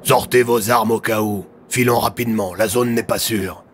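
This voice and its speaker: A man playing a decisive army colonel in an audio drama, gruff and curt, giving slangy orders. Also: on the low side, fairly even intonation, normal speed, slight background noise, natural voice. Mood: commanding.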